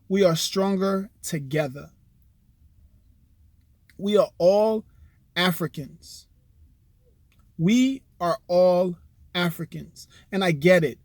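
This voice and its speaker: A man speaks straight to his audience in an earnest, persuasive way. His voice sits a bit high, and the sound is close and dry with no room echo. He often fills with "you know" and starts his sentences over. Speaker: vlogger. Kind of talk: direct-to-camera commentary.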